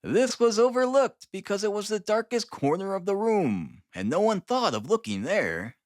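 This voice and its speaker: A man's voice: high voice, sing-song, moderate pace, dry recording, studio quality, voice pushed up. A man voicing a touchy, self-important little police detective in an audiobook of a classic mystery novel. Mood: triumphant.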